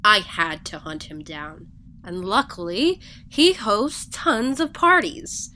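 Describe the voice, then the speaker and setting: An actress playing a stern, secretive and impatient alien treasure hunter in an audio drama, speaking in a curt, commanding manner. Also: female voice, mid-range voice, big pitch swings, takes it slowly, sharp tone, a little hiss or hum, full character voice. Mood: smug, determined.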